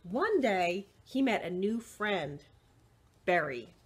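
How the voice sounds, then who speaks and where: A middle-aged woman reading a picture book aloud to young children, expressive and deliberate, with short asides to the listeners. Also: medium pitch, husky. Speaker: children's library storyteller. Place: indoors, small room with bookshelves.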